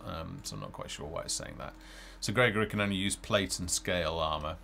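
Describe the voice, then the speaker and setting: Low, gravelly man demoing a game. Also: sing-song, brisk, pushed and bright, slight background noise. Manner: hesitant, thinking aloud while playing. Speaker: game developer presenting. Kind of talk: casual live gameplay demo commentary. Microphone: headset. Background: game music.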